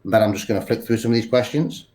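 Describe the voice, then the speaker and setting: A man with a cold, speaking in a halting way with many pauses and restarts as a podcast interview guest. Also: lowish voice, warm tone. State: congested with a cold. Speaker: poker executive guest.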